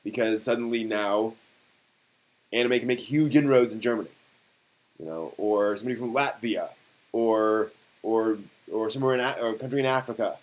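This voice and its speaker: A man hosting a casual live stream discussion, thinking aloud in a halting way and posing rhetorical questions. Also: on the low side, darkish tone.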